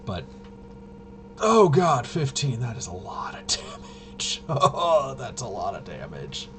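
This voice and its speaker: A man's voice: mid-range voice, very animated, slow pace, noisy background. A man giving casual play-by-play of a tabletop roleplaying game, muttering and thinking aloud as he goes. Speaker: solo tabletop game player and host.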